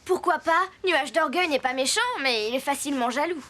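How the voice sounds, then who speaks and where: A woman's voice: sits high, fairly quick, a bit bright, slight background noise. A woman voicing a resourceful, helpful young girl in a French cartoon dub. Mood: reasoning.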